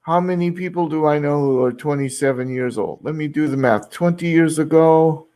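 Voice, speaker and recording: A man's voice: on the high side, normal speed, mellow. A man in his sixties, an English teacher, chatting casually in a rambling, reflective, self-deprecating way. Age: 60s. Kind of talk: casual group chat.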